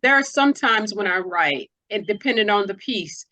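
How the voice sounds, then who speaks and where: A woman's voice: medium pitch, animated, normal speed, pushed and bright. A woman hosting a conversational interview, putting questions to her guest and explaining what she means. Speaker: interviewer, host.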